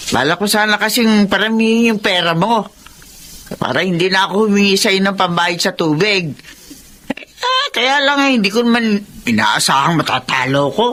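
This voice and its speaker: A woman with a Filipino accent playing a middle-aged gambling mother in a radio drama, defensive and quick to bicker. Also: medium pitch, lively intonation, a bit bright, natural voice. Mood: sheepish.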